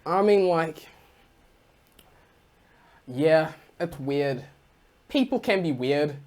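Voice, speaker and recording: A man's voice: fairly high pitch, expressive, extremely slow, darkish tone. A young man from New Zealand with a New Zealand accent, telling stories on a casual podcast in a rambling way full of "like". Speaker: podcast co-host. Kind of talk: casual storytelling chat.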